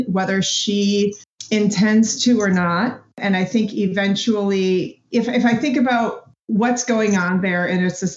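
A woman in a casual panel discussion, reasoning aloud in a thoughtful, measured way. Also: mid-range voice. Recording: echoey room, dull lo-fi audio.

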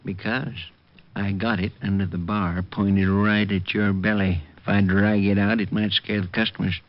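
An actor playing a gruff counterfeiter who runs a bar, menacing and sneering, on a noisy lo-fi old radio broadcast. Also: male voice, fairly low pitch, little pitch movement, normal speed, close to an everyday voice. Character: gruff older male counterfeiter bar owner.

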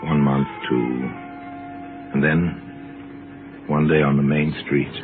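Male narrator, extremely deep, grave and wry, measured radio mystery storytelling over music, narrow-band vintage sound. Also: little pitch movement, exaggerated voice. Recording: lo-fi phone-like old radio recording, music.

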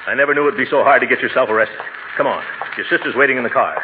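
A man's voice: mid-range voice, racing, close to an everyday voice. An actor playing a pompous, flustered middle-aged uncle in 1940s radio comedy style, blustering his way along. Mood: exasperated. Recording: scratchy, narrow-band old radio recording.

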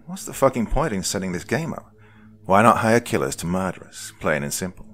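Man acting a wry young man, deep voice, embittered, terse, horror audiobook hero. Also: very animated, brisk, a bit bright, exaggerated voice. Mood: agitated.

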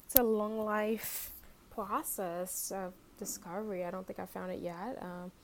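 A young woman from Haiti talks as a podcast guest in a gravelly, creaky voice. She speaks loosely and hesitantly, with plenty of fillers. Faint background noise sits under her voice. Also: medium pitch, a little slow. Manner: relaxed, hesitant, many fillers. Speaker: podcast guest, fashion blogger. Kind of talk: casual interview chat.

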